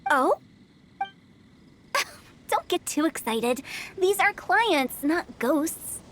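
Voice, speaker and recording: Very high, playful young undertaker. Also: female voice, very animated, extremely slow, a bit bright, slight background noise, full character voice. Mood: amused. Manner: breezy, playful sales patter. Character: quirky cheerful young woman, funeral parlor director, mischievously morbid. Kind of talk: animated video game voice acting.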